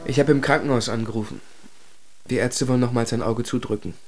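A man's voice: mid-range voice, fairly even intonation, fast talker, music in the background, close to an everyday voice. A male actor plays a young man in a radio drama. He is exasperated and resigned, and speaks in a plain, sceptical manner. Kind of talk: German radio drama acting.

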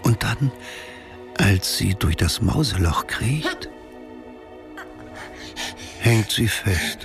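A man's voice: lowish voice, sing-song, very slow, vocal fry, over music, exaggerated voice. An actor playing a dry, sardonic male narrator in a radio drama. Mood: grave. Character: wry male narrator commenting on the heroine's plight.

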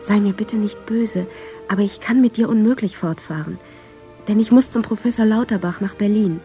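Casting: actress as lovestruck young 1910s woman reading love letters, soft dark tone, mid pitch, tender and measured. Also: natural voice. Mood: tender, yearning. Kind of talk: dramatic reading of love letters, radio drama. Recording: clear violin music under the voice. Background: violin music.

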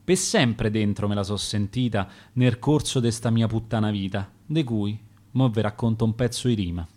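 A man's voice: lowish voice, moderate pace, a little mellow. A man with a Roman accent reciting his own dialect sonnets for radio in a rhythmic, dramatic way. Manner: rhythmic, dramatic verse delivery.

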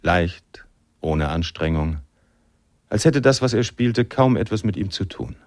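A man's voice: low-pitched, expressive, a little slow, darkish tone. An actor playing a reflective, world-weary male narrator in a noir radio drama, telling the story in literary prose. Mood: reflective, melancholy.

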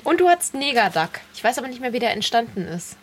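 A woman with a fairly high voice talks casually as a podcast co-host. She reminisces with open enthusiasm, in the loose flow of a group conversation. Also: sing-song, fast.

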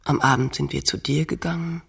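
Husky, impatient woman. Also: low voice, steady tone, a little fast, heavily acted. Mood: wistful. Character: impatient, practical woman urging her weary partner on. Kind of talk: radio play dialogue.

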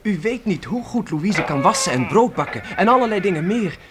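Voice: medium pitch, sing-song, brisk, noisy background, natural voice. An actor playing an orphaned boy, the eldest child, earnest and emotional, in a Dutch radio play. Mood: earnest, halting.

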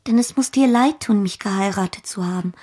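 An actress playing a childish, tender, easily hurt young wife in a radio play, girlish and pouting, with a breathy voice. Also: medium pitch, brisk, warm tone, close to an everyday voice. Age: young adult.

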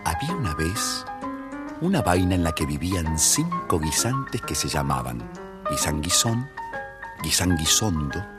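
A male storyteller narrates a children's tale from a script. His voice is low and moves expressively up and down, with music playing behind him. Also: sing-song, a little slow.

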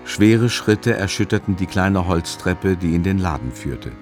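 A man narrating a literary radio play in a measured storytelling manner. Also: deep voice, expressive, music in the background.

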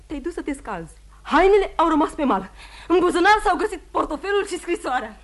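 A high-pitched young woman in an old radio drama, fiery and devoted to the man she loves. She speaks impulsively and insistently, doubling her words with stubborn will. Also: sing-song. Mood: excited scheming. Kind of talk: old radio theatre acting.

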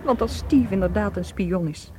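An actress playing an anxious, self-reproaching female tour guide in a radio drama, hushed and emotional. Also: mid-range voice, normal speed, a little hiss or hum, close to an everyday voice.

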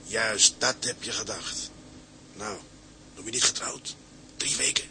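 An actor playing a cynical, sly inner voice that taunts and needles in a radio drama. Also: male voice, on the low side, measured pace, sharp tone, a little hiss or hum, heavily acted. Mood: mocking, cynical. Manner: mocking, needling.

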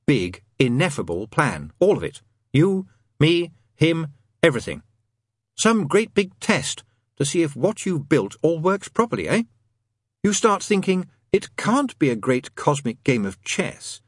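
A man's voice: a little high, husky, dry recording, pitched above the performer's own voice. A man voicing a restless, sardonic male demon in an audiobook, insistent and full of rhetorical questions. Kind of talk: dramatised audiobook reading.

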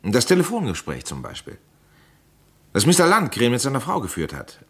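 Curious playwright with very lively intonation. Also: male voice, medium pitch, a little fast, breathy edge, full character voice. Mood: hesitant, insistent. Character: male playwright, curious, hesitant, nervously theorising. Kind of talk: German crime radio play acting.

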